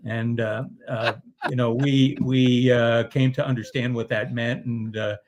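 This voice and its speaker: An older man answering interview questions as a guest, halting and full of filler sounds. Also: medium pitch, little pitch movement, slight background noise.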